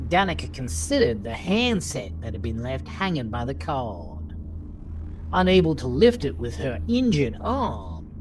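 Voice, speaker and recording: A man narrating a scripted horror audio drama in a theatrical, deliberate manner. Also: mid-range voice, background noise. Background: music.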